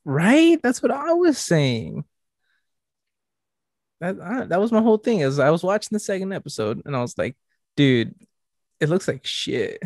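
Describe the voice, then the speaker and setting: A young man co-hosting a podcast, teasing his friend with quick comebacks in casual chat. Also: high-pitched, big pitch swings, a little mellow, no room sound, clean studio sound. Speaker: podcast co-host.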